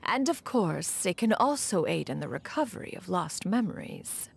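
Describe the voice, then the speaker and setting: A woman voicing a poised, wise immortal inventor in a video game, speaking calmly and formally. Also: fairly low pitch, normal speed, gravelly, breathy edge, exaggerated voice. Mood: composed. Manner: calm, formal, composed.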